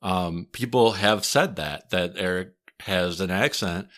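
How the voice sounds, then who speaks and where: A man's voice: low-pitched, a little slow, a bit bright. A man with a Wisconsin accent, hosting a podcast in casual chat, thoughtful and a bit hesitant, with frequent restarts. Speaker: podcast host and historian.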